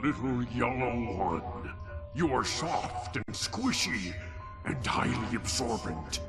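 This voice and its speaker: A man's voice: medium pitch, expressive, slow pace. A man voicing a pompous, menacing evil alien overlord, a giant ball of goo in a cartoon game. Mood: domineering. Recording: game audio under loud music.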